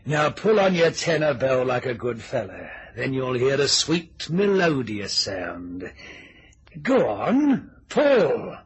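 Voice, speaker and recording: An actor playing a shady, soothing village parson with a folksy, cajoling manner in an old-time radio mystery. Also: male voice, mid-range voice, very animated, slow pace, husky, exaggerated voice. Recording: vintage radio recording.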